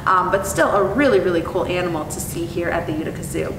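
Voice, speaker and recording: A young woman presenting as a zoo educator and reading to children in an expressive, animated way. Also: fast talker. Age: young adult. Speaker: zoo educator and storytime host. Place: indoor room with painted animal mural. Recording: echoey, distant mic with clear rumbling noise.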